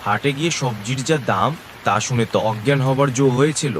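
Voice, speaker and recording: A man acts a weary, poor husband in an animated village tale. He grumbles at a middling pitch, sounding fed up and put-upon. Light rain noise sits steadily behind the voice. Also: brisk, lightly acted. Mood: grumbling. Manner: grumbling, complaining. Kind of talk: animated cartoon story acting.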